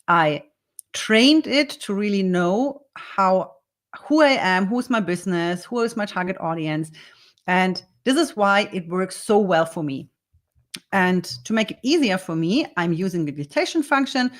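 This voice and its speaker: A woman with a German accent talks through her answers at an earnest, step-by-step pace. Her voice sits a bit low. She explains as a copywriter teaching a workshop, speaking English, which is not her first language. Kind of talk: dictated spoken answers in a workshop demo.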